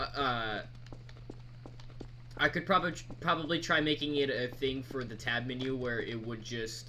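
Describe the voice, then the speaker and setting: Man, bright voice, informal rambling tutorial delivery, halting phrasing, light background noise with typing. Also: mid-range voice. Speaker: mod creator presenting tutorial. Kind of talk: casual screen-recorded software tutorial.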